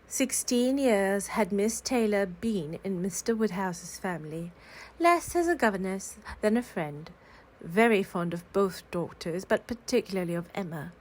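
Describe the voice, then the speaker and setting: A woman reading classic literary fiction aloud in a calm, cozy storytelling style. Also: mid-range voice, measured pace. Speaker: reader of classic novels aloud.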